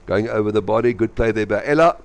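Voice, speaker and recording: A man's voice: on the low side, quick pace, creaky. A man with a South African accent, a native Afrikaans speaker, giving live match commentary and cheering on his team. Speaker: sports commentator.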